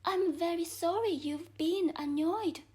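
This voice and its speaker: An earnest little storybook girl, voiced by a woman, speaks high and unhurriedly. She is polite and truthful, puzzled and a touch hesitant. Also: a little slow, dark tone. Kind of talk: storybook character voice.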